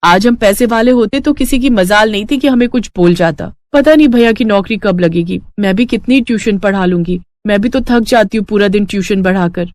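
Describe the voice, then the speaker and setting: A woman's voice: mid-range voice, brisk, few pauses, a little mellow, no room sound, natural voice. A woman voicing an outspoken, weary young sister-in-law in a cartoon story, complaining with emphasis. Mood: resentful. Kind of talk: Hindi cartoon story acting.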